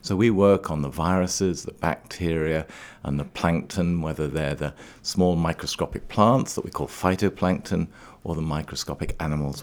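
A middle-aged man from Trinidad who later lived in Scotland, explaining things as an interview guest in a measured, articulate way. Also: a bass voice. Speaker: research laboratory director, interview guest.

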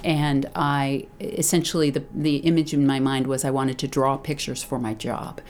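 A woman who illustrates children's books, talking thoughtfully in an interview, often pausing, restarting and laughing. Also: very deep voice, flat delivery, darkish tone, slight background noise. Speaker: children's book author and illustrator. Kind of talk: reflective interview talk.

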